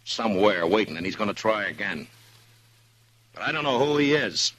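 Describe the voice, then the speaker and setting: An actor playing a calm, tough frontier marshal in a 1950s radio drama, dry and clipped. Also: male voice, fairly low pitch, normal speed, breathy edge, no echo. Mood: steady. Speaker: town marshal. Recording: old radio broadcast.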